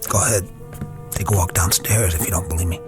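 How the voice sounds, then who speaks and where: Radio drama lieutenant, male actor: tired soldier, haunted, reassuring but halting, over clear underscore. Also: low voice, steady tone, natural voice. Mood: haunted, subdued. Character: weary, haunted army lieutenant at a wartime field hospital. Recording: dramatic music behind the voice.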